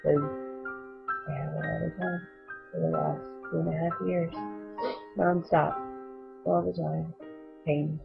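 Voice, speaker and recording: A woman giving personal testimony in a wry, emphatic way with a very creaky voice. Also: deep voice, level tone, measured pace, long pauses. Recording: tinny narrow sound, faint music.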